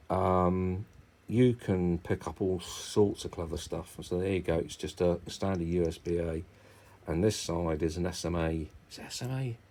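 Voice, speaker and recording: A man's voice: low voice, mellow. A middle-aged British man giving a casual, explanatory hobby talk, rambling informally and thinking aloud as he goes. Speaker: amateur radio hobbyist presenter.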